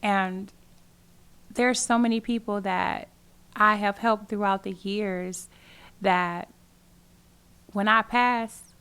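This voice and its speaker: A woman in her late forties talks as a guest in a casual interview. Her voice sits at an everyday medium pitch. She speaks earnestly and with emphasis, repeating key phrases for weight. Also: fairly even intonation, slow, long pauses, a bit bright, clear, resonant tone. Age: 40s. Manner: earnest, emphatic storytelling with repetition. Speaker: guest, community organizer.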